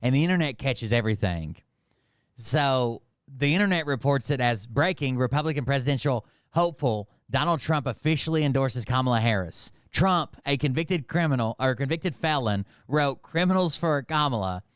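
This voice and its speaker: A man's voice: mid-range voice, expressive, moderate pace, smooth, dry recording, clean studio sound. A man from Montreal hosting casual political commentary in a blunt, mocking, sweary way. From Montreal, Canada. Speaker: host commenting on political clips.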